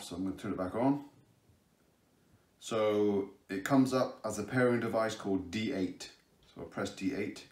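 Low-voiced man demoing a speaker. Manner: explains step by step, with frequent fillers and restarts; raises his voice over loud music during the sound test. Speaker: product reviewer. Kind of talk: casual unboxing demo. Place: indoors at a table, camera overhead. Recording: echoey room, music playing. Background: speaker playing music.

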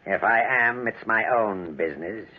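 An actor playing a rude, snapping hotel guest in 1940s radio style. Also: male voice, lowish voice, measured pace. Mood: gruff, irritated. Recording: tinny, very noisy old radio recording.

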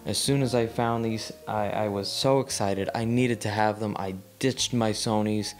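A young man talks through an audio gear review from a chair in a small living room, his voice sitting a little low. He rambles, restarting and stacking ums as he gestures, with faint music playing behind him. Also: steady tone, normal speed. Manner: rambling, with frequent ums and repeated words; gestures with his hands while seated. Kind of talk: casual talking-head review.

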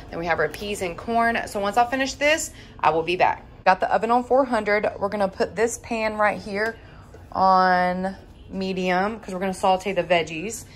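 A woman, chatting informally and folksily through a home cook-along. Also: medium pitch. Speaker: home cooking vlogger. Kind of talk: casual cook-along narration. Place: home kitchen, indoors. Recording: clear sizzling and kitchen noise. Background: frying sizzle, kitchen noise.

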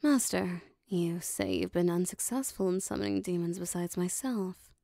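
A woman playing a powerful, possessive female demon devoted to her master, speaking formally and deliberately in an ASMR roleplay. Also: on the low side, huge pitch swings, vocal fry, warm tone, dry recording, clean studio sound, heavily acted. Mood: possessive, insistent. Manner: formal, deliberate, intimate phrasing.

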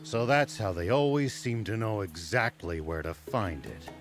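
A grave old soldier from a video game. His low voice is measured and serious, level-headed yet expressive in its movement. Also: music in the background. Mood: analytical.

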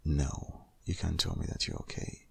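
A man playing a protective, worried boyfriend in an intimate ASMR audio roleplay, speaking insistently in a husky, half-whispered voice. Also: very animated, moderate pace, a bit bright, exaggerated voice. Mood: firm, protective. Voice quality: very deep, gravelly, husky, often half-whispered.

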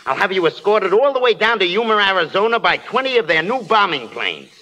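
An animated, sharp-edged cynical studio press agent in a vintage radio play. He is pushy and sarcastic, with a highish voice on a slightly noisy old recording. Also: brisk, highs cut off, full character voice. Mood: hyping. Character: brash, cynical studio publicity man. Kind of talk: 1930s-40s radio drama acting.

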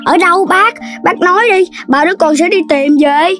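An actor voicing an eager, curious young puppy child in a children's cartoon, excitable and inquisitive. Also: very high voice, normal speed. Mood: earnest, curious. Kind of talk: animated children's cartoon dubbing. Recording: loud music behind.

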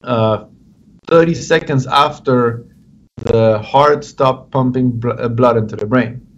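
Neurosurgeon on a muffled video call. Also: male voice, medium pitch, takes it slowly. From Afghanistan, raised in Germany. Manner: hesitant with many fillers, explanatory. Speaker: neurosurgeon, interview guest. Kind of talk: explaining research on a video call. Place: home office. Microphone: video call webcam. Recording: dull lo-fi video call audio.

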